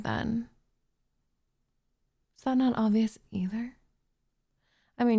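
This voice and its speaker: A woman's voice: mid-range voice, gravelly, dark tone. A woman voicing a doting, possessive witch in an intimate ASMR roleplay, cooing and teasing with smug condescension, often slipping into a whisper close to the microphone. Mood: soothing, possessive. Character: doting, possessive young witch turning captive into pet cat.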